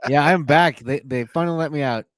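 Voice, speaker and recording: A man's voice: on the high side, fairly quick, clear, resonant tone, dry recording. A man co-hosting a podcast, telling a long personal story in casual chat with plenty of fillers and restarts. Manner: talkative storyteller, many fillers. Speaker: podcast co-host.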